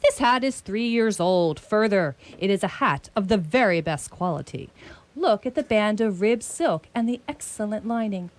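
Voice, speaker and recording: Woman acting, brilliant detective, bossy, pedantic, very lively intonation, radio theatre. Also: medium pitch, normal speed, lightly acted. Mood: self-assured, lecturing. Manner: pompous, didactic.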